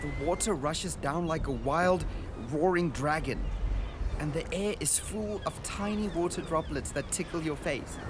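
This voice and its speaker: A man's voice: on the high side, unhurried, background noise, close to an everyday voice. A man playing an earnest, wonder-struck boy traveler in a radio drama, speaking as if recording an audio diary. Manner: earnest, descriptive, full of wonder.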